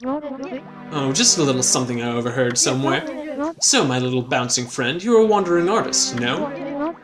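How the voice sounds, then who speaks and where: A flamboyant young male swordsman who teases with smug theatrical flair and French phrases, as a man voices him. His voice swoops widely in pitch. Loud game music runs behind. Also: big pitch swings, moderate pace. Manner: teasing, theatrical, sprinkles French words.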